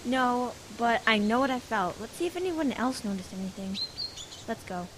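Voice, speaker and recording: A woman's voice: on the high side, expressive, moderate pace, natural voice. A young female performer playing an insistent, bossy girl camper in an amateur audio drama. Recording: clear background noise and ambience.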